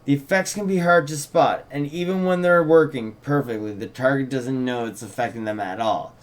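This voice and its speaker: A man's voice: mid-range voice, normal speed, lightly acted. A man playing a wry, doting old-soul witch. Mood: knowing, gently patronizing.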